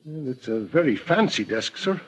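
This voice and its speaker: An actor playing a loyal, earnest, plain-spoken detective's sidekick in an old-time radio drama. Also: male voice, on the high side, sing-song, normal speed, a little hiss or hum, rough recording. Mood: eager, amazed. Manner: deferential, exclamatory.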